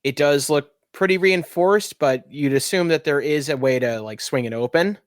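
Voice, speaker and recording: A man's voice: fairly high pitch, normal speed, dry recording, clean studio sound. A man acting as game master, narrating a tabletop game casually. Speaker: game master and podcast host.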